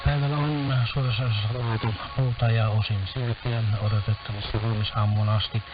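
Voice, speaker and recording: A man's voice: on the low side, little pitch movement, fast talker. A man, a radio DJ, reading a weather forecast over shortwave radio. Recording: muffled shortwave radio with loud music. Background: music.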